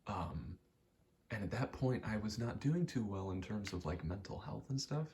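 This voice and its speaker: Hushed, very low-voiced man rambling softly. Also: animated. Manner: hushed, soft-spoken, halting and reflective. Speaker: ASMR creator. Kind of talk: ASMR ramble, personal storytelling.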